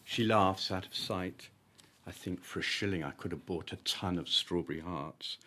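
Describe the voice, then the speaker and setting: A man, an author reading his own short story at a live event, with deliberate, emphatic phrasing and comic timing. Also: sits low, sharp tone. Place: live literary event at an arts venue.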